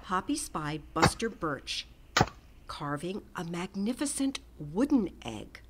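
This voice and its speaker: A woman's voice: fairly low pitch, very noisy. Woman reading a children's book aloud. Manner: gentle, expressive, slow and lively. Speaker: storybook reader.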